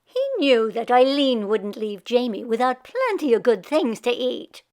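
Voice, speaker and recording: A woman narrates a children's story aloud. Her voice sits fairly high and moves with very lively intonation. The delivery is scripted, expressive storytelling. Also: unhurried.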